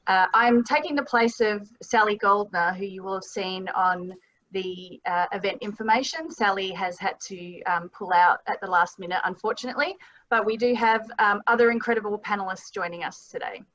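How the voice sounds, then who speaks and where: Australian moderator on a lo-fi video call. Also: female voice, medium pitch, moderate pace, a bit bright. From Western Australia. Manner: many ums and uhs, informal. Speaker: panel moderator. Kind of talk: relaxed, hesitant panel hosting. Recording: dull lo-fi video call audio.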